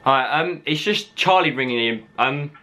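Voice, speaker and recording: A man's voice: medium pitch, animated, normal speed, background noise. A young man, chatting casually in a hesitant, rambling, slangy way. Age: young adult. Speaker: prank caller, video host. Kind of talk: casual improvised chat. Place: small room at home.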